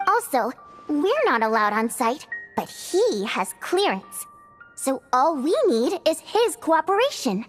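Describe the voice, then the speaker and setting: High, lilting bubbly young schemer. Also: female voice, slow pace, exaggerated voice. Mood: confiding, scheming. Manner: expressive, chirpy, coaxing. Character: bubbly young woman, theater singer and cunning schemer. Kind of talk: video game voice acting. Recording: music under the voice.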